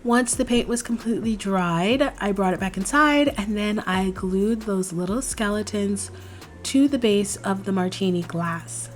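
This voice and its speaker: A young woman hosting a DIY craft video, explaining each step in a chatty, relaxed way, her voice a little hoarse from a cold. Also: medium pitch, unhurried. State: slightly hoarse, recovering from a cold. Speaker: DIY craft channel host. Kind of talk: casual step-by-step craft tutorial voice-over. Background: faint background music.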